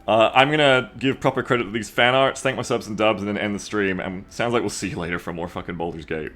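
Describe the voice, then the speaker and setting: A man chatting casually to viewers as a game streamer, rambling, excitable and swearing freely. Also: fairly low pitch, quick pace. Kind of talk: casual livestream chat to viewers. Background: music.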